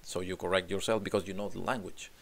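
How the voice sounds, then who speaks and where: Chilean man with a gravelly low voice. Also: steady tone, fast talker. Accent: Chilean Spanish. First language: Spanish. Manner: thoughtful, repeats words while searching for them. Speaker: job applicant, English teacher. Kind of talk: self-recorded interview answers. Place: home room with guitars on the wall. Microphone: desk condenser mic in frame.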